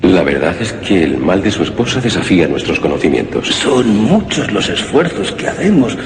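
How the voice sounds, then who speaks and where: A baffled doctor delivers grim news in a radio drama. The voice is emphatic and formal, moving widely in pitch. It echoes through a long hall reverb with faint noise. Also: male voice, big pitch swings, moderate pace. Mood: grave, regretful.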